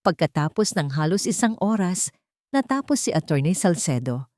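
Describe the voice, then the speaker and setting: A Filipino woman narrates a dramatic story from a script. Her voice sits on the low side and moves in lively, animated swings of pitch. The sound is dry and close, with little room. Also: moderate pace, no room sound.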